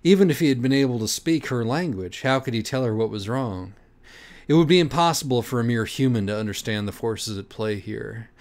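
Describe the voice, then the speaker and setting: A man reading a parody audiobook in a dramatic, deliberate, mock-earnest way. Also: mid-range voice, animated, darkish tone. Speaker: audiobook reader. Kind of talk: dramatic scripted narration of a parody children's book.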